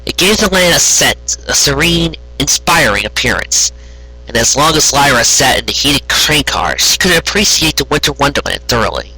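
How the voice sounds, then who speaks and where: Animated, bright-voiced man narrating a story. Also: mid-range voice, normal speed, slightly rough, low-quality recording. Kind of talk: expressive fan fiction narration, read aloud.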